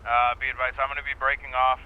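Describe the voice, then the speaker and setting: A man's voice: mid-range voice, little pitch movement, fast, phone-quality sound, a little hiss or hum, natural voice. A man playing a businesslike police officer, speaking in clipped, procedural radio calls for an online game roleplay.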